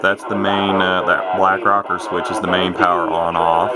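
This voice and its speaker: A man giving a casual, unscripted hobbyist demonstration, talking in a halting, rambling, matter-of-fact way. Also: low-pitched, heavy background noise. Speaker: radio hobbyist demonstrating a repaired CB radio.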